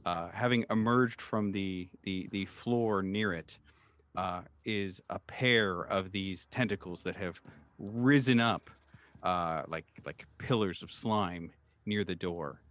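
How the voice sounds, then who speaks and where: A man narrating a horror tabletop roleplaying game as game master, feeling out his words with halting repetitions. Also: deep voice, a bit bright, no echo, muffled recording.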